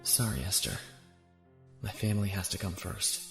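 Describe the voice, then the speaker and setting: A man's voice: low-pitched, lively intonation, normal speed, fairly bright tone. A man playing a troubled, dutiful young prisoner in an audio drama, subdued and hesitant. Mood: grim, resigned. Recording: echoing room with faint music.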